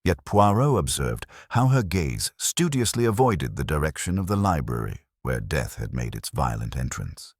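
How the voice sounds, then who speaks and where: A man's voice: rumbling low, big pitch swings, normal speed, few pauses, no room sound, studio quality. A man reading a mystery story as a formal, descriptive audiobook narration.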